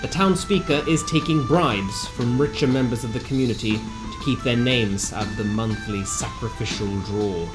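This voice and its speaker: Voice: medium pitch, normal speed, hardly any pauses. A narrator reading a scripted recap of a tabletop fantasy game in a theatrical storytelling manner with wry asides. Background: music.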